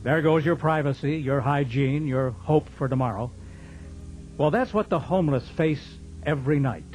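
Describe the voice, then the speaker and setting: A man's voice: a little high, normal speed, darkish tone, slight background noise, poor audio. A man with an American accent reading scripted narration as the announcer of a radio drama.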